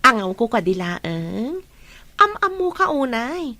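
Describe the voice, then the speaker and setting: Casting: actress for playful young Filipino best friend, swooping intonation, highish pitch, radio-style drama. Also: female voice, sing-song, slow, sharp tone, dry recording, full character voice. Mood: approving, friendly. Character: teasing, chummy young workplace best friend. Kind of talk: Filipino audio drama acting.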